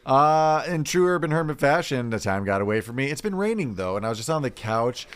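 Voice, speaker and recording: A man's voice: medium pitch, very animated, fairly quick, clear, resonant tone. A man co-hosting a podcast in casual, meandering banter with friends. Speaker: podcast co-host.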